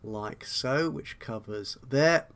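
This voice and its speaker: A man's voice: mid-range voice, takes it slowly, a bit bright. A man giving an unscripted tutorial commentary on a video game, explaining step by step with hesitant asides and self-corrections. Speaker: tutorial host.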